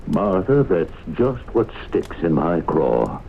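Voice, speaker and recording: Deep-voiced, persistent small-town investigator. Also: male voice, very animated, gravelly, dark tone, full character voice. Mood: probing. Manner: deliberate, probing, folksy. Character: persistent, plain-spoken small-town man questioning suspects. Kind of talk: 1970s radio drama acting. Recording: muffled, noisy 1970s radio broadcast.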